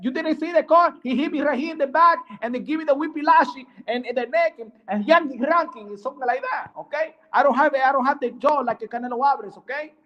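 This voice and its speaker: A very high-pitched, indignant crash victim , acted out by a man for a comic bit pleads. The speech is broken, excitable and repetitive, always flustered and aggrieved. The recording sounds slightly dull and lo-fi. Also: fast talker, hardly any pauses. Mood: indignant, pleading.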